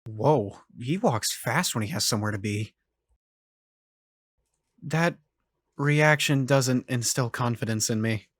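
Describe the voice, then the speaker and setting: A man playing a self-conscious high school senior, narrating a visual novel fan dub in the first person with a wry, introspective manner. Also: takes it slowly, pauses often, no echo, studio quality. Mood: wry, reflective.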